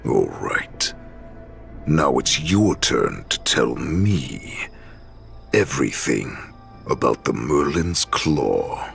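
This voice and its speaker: Gravelly, abyss-deep ancient wolf warlord. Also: male voice, sing-song, drawn-out words, fairly bright tone, soft background music, exaggerated voice. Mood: menacing. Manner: theatrical, menacing, savoring each word. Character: monstrous ancient male wolf-beast warlord, savage, gloating, hungry. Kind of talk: dramatic video game voice acting.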